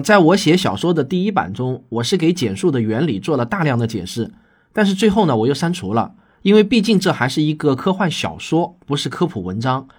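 Animated, slightly husky man explaining science. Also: on the high side. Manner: didactic, emphatic, addresses listeners with rhetorical questions. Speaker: host and science fiction author answering listener questions. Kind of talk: science explainer podcast, scripted but conversational.

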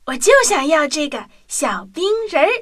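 A performer playing an eager, excitable little boy in a children's audio drama, with energetic, exclamatory delivery. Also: high voice, moderate pace. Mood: eager, commanding.

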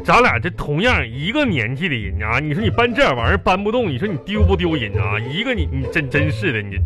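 High-pitched, exasperated Northeastern Chinese husband. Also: male voice, big pitch swings, fast talker, a bit bright, soft background music. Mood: grumbling. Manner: stammering, repetitive, complaining. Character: exasperated, grumbling middle-aged husband, long married. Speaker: radio show host and comedy actor. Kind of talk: live comedy radio drama.

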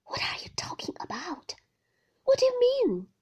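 A woman reading a novel in a breathy whisper, voicing the lines of a troubled, guarded older husband. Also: mid-range voice, a little slow, darkish tone. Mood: bewildered.

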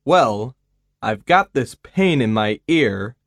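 A man's voice: medium pitch, expressive, creaky, dry recording, clean studio sound, exaggerated voice. A man voicing a patient with a cold who speaks hesitantly in a scripted listening dialogue. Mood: unwell. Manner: slow, hesitant speech. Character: male patient with a cold, unwell.

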